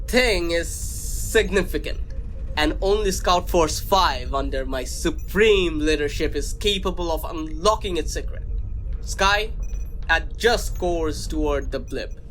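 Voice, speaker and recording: A man acts out a self-important space captain who fancies himself a hero. His voice is high and bright, and he declaims grandly in an audio comedy over music. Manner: pompous, grandly declaiming, deliberate. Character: pompous, over-confident male starship captain, self-styled hero. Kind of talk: sci-fi comedy audio drama acting.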